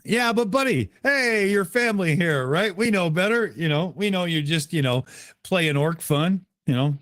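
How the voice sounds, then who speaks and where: A man's voice: sits high, expressive, normal speed, a bit bright, no echo, studio quality. A man hosting a casual call, joking loosely and swearing. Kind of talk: casual chat.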